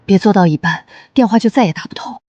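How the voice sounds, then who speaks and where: A woman playing a wary, let-down client seeking a lawyer in an animated drama, guarded and direct. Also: medium pitch, quick pace, muffled recording, a little hiss or hum, close to an everyday voice. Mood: weary, bitter.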